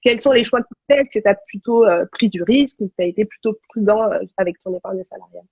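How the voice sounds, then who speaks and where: A woman's voice: medium pitch, fast, breathy edge, no room sound. A woman hosting a live-stream interview, stuffy with a cold, who asks thoughtful questions that voice listeners' worries. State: has a cold. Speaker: host. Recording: dull lo-fi sound.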